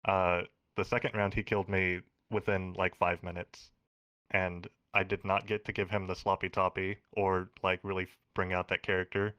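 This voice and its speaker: A man's voice: sits low, little pitch movement, moderate pace, a bit bright, no room sound. A man speaking casually as an eliminated contestant in an online interview, joking and rambling through his answers. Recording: dull, lo-fi mic sound.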